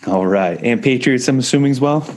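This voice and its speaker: A man's voice: medium pitch, lively intonation, a little fast, a little mellow. A man hosting an interview show, reading out a guest introduction and asking questions in an upbeat way. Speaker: podcast host. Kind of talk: reading an introduction, then chatting.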